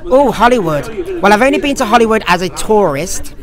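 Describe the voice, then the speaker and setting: Effusive English man amid loud crowd chatter. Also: sits high, big pitch swings, fairly quick. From Lincoln, England. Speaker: pantomime actor interviewee. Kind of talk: casual interview chat.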